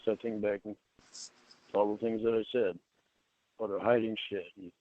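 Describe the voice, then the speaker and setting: A man with a fairly low voice replies to questioning. His answers are brief and conceding. The recording is dry and somewhat muffled. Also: flat delivery, moderate pace, no room sound. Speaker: person being questioned. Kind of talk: answering an interrogation.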